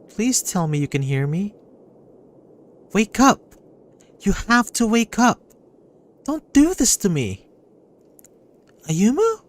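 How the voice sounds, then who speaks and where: A man voicing a sweet, anxious young woman who fusses tenderly in a breathy voice, in a visual novel. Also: fairly high pitch, pitched above the performer's own voice. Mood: panicked, pleading. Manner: breathy, fussing, tenderly concerned. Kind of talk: visual novel character acting.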